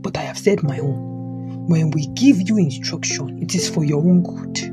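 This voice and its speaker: A woman's voice: deep voice, normal speed, natural voice. A woman playing a stern but supportive elderly father in an audio drama, with a Nigerian Yoruba accent and an emphatic, repetitive, admonishing delivery. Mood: firm, resigned. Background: guitar music.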